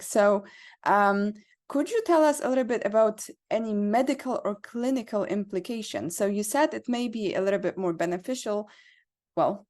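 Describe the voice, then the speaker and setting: A woman's voice: mid-range voice, a little mellow. A woman interviewing a guest, asking polite questions with some hesitant ums.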